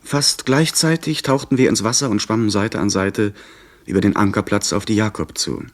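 An actor playing a young gentleman who recounts his sea adventure in measured, literary radio drama narration. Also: on the low side, natural voice. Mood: absorbed, suspenseful. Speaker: narrator and lead character. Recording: 1970s radio play, faint background noise.

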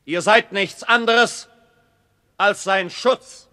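Bright-voiced commanding prince in a hall. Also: male voice, high-pitched, slow pace, heavily acted. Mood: formal, commanding. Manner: formal, authoritative. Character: commanding prince of Saxony, formal and assured. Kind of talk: German radio play acting. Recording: reverberant hall.

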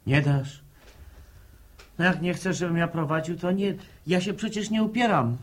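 An actor playing an eager, hot-headed young farm lad in a radio drama, with plain rustic, impulsive speech. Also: male voice, on the high side, moderate pace, close to an everyday voice. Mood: pleading.

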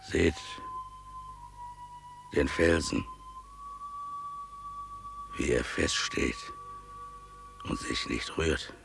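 A humble, pious keeper of beasts in a radio drama. His voice is extremely low and creaky, and he speaks slowly and solemnly, with reverence. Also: full character voice. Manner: slow, solemn, reverent delivery. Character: humble, pious male animal keeper, father pleading with a prince. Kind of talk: German radio play acting.

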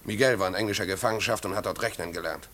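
A man's voice: low voice, rapid-fire, a bit bright. An actor playing a solemn village man in a 1950s radio play, respectful in address but blunt and firm. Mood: earnest, reproachful.

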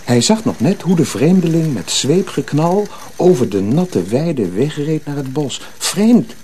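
A man narrating a radio drama as a scripted story, in an expressive, suspenseful storytelling manner. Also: on the high side, measured pace, slight background noise, low-quality recording.

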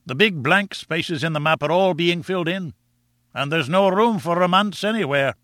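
Elderly Scottish newspaper editor, played by a male reader, avuncular and exclamatory, raised pitch, storybook dialogue. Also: moderate pace, fairly bright tone, dry recording, clean studio sound, lightly acted. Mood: alarmed, discouraging. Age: older. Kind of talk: audiobook character dialogue.

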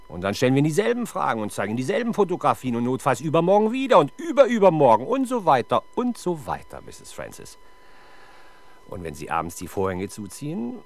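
An actor playing a sardonic, exasperated male police detective in a 1970s German radio crime drama, with dry, sarcastic delivery. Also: medium pitch. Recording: 1970s radio production with faint background noise.

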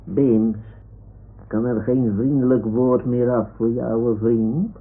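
A man's voice: medium pitch, steady tone, slow, slightly rough. A man playing a sleepy, grumbling old toy lion with a drowsy, plaintive manner in a radio play. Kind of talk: 1960s-70s radio play acting. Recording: muffled, hissy old radio recording.

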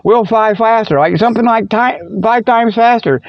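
A man's voice: high voice, moderate pace, no room sound, dull audio. A man voicing a goofy, wisecracking cat who hosts a comedy radio show in a rambling, folksy, stumbling way. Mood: folksy pitch. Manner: rambling, folksy, stumbling, chuckles at own jokes. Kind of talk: comedic radio monologue with spoof ads.

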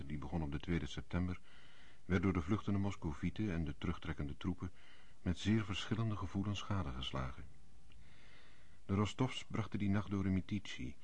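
A man narrating a historical radio drama as a sober storyteller, measured and solemn. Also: sits low, a little mellow, husky.

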